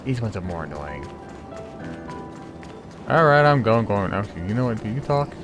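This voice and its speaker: A man's voice: fairly low pitch, big pitch swings, moderate pace, music in the background. A man giving casual gameplay commentary, muttering to himself and swearing as he plays. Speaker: gameplay streamer.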